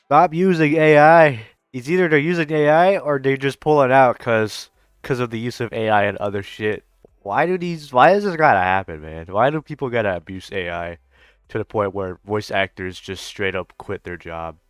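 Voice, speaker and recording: A man's voice: mid-range voice, normal speed, clear, resonant tone, dry recording, clean studio sound. A young adult man giving casual, slangy reaction commentary on a game, with frequent swearing. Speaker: streamer reacting to a game story.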